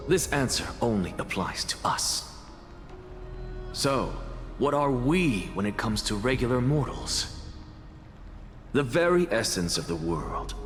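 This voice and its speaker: An actor playing a proud, brilliant scholar in a video game, lecturing in a grandiose, self-assured manner. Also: male voice, lowish voice. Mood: confident, lecturing. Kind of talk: dramatic video game voice acting. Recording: long reverb, music behind. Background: music.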